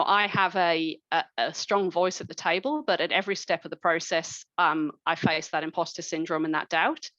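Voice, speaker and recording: A woman's voice: lowish voice, a bit bright, dry recording. A woman in her forties giving a reflective personal career talk at a mentoring event, with many ums and restarts. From New Zealand. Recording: dull lo-fi sound.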